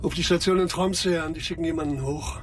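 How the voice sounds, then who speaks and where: Guy playing grumbly older cop, short sentences, highish lively voice, noisy vehicle sound around him, radio crime play. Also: a little fast, lightly acted. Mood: irritated, brisk. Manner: curt, grumbling, informal. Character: gruff ageing male police commissioner. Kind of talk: German radio crime drama dialogue.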